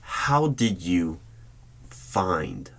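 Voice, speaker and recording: A man speaking in a formal, deliberate official tone, performing a spoken ASMR roleplay as a stern hiring manager, with keyboard typing behind him. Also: low-pitched, sing-song, creaky. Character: stern male hiring manager of secret organization. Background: computer keyboard typing.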